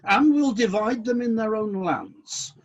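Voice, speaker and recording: A man acting in a radio play as God, a disillusioned but decisive ruler of heaven, with indignant questions and deliberate commands. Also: sits high, expressive, lo-fi sound. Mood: commanding, resolute.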